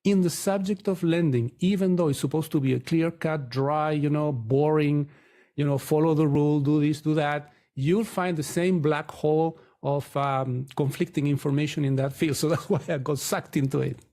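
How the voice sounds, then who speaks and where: A man's voice: a little high, steady tone, normal speed, dark tone. A middle-aged man from Chile, a Spanish speaker, telling his story conversationally as an interview guest. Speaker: podcast guest, mortgage broker.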